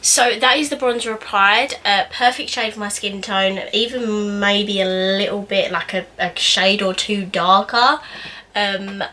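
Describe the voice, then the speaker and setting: A woman's voice: mid-range voice, fairly even intonation, measured pace, bright, forceful tone, far from the mic, a little hiss or hum. A young woman with a slightly nasal voice, getting over a cold, chatting through a makeup review in her bedroom with lots of "um"s and restarts. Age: young adult. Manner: chatty, rambling, many "um"s and restarts. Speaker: beauty vlogger. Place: small bedroom indoors.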